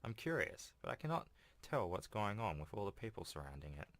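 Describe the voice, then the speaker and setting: A man's voice: fairly low pitch, sing-song, fairly quick, creaky, fairly bright tone, no echo. A young man doing casual let's-play commentary and reading game text aloud. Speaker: gaming YouTuber doing a playthrough. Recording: home recording with game music behind. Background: video game music.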